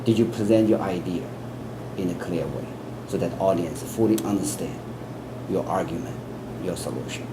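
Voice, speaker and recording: A man's voice: low-pitched, moderate pace, long pauses. A man with a Chinese accent giving interview answers as an English test expert, earnest, often repeating words and restarting. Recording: air conditioning hum in background.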